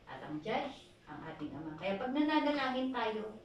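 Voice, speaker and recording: A woman pastor with a Filipino accent preaching at a prayer meeting, asking the congregation questions and reading scripture slowly. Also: fairly high pitch, big pitch swings, muffled recording. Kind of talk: sermon exhortation at a prayer meeting. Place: church hall. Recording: echoey hall from far off, faint noise.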